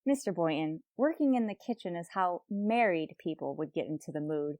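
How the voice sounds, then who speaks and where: Wry, deadpan radio comedy schoolteacher played by an actress. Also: female voice, fairly low pitch, moderate pace, dry recording, lightly acted. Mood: wry, resigned. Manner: deadpan, sarcastic asides. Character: wry, lovelorn female schoolteacher with dry wit. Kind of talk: old-time radio situation comedy acting.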